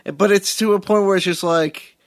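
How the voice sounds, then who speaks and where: A man's voice: high-pitched, steady tone, moderate pace, dry recording, studio quality. A man co-hosting a casual movie podcast, reading out budget figures plainly and steadily. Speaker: podcast co-host.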